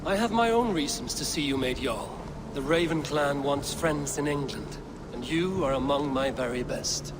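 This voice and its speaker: Frank, loyal Viking warrior, played by a man, slightly high male voice, earnest game-cutscene delivery. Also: normal speed, lightly acted. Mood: stern, earnest. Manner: earnest, blunt, teasing among friends. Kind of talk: video game cutscene acting. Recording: noisy game ambience behind dialogue.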